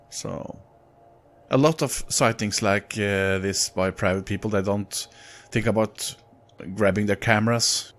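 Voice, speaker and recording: A man with a Norwegian accent reading sighting reports aloud in an unhurried way, breaking off for casual, halting comments. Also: lowish voice. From Norway. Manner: unhurried, halting in asides, chuckles at own slips. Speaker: narrator of UFO reports.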